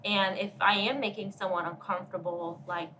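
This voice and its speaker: A woman's voice: medium pitch, fairly even intonation, moderate pace, bright, forceful tone, distant mic, a little hiss or hum, rough recording. A woman co-hosting a podcast, talking in a candid, chatty way and laughing easily. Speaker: podcast co-host. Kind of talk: casual conversation.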